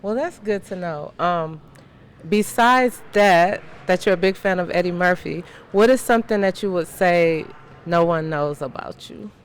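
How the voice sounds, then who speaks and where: A woman's voice: lowish voice, measured pace, clean tone. A woman hosting a podcast who asks questions and gives short encouraging replies. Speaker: podcast host. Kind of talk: casual interview chat.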